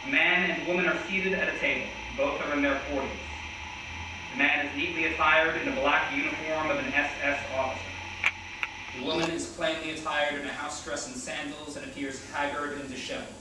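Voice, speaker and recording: A man's voice: fairly high pitch, fairly even intonation, a little fast. A man narrating stage directions from a script at a staged reading. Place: hall, recorded from a distance. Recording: very echoey hall, far mic, loud noise.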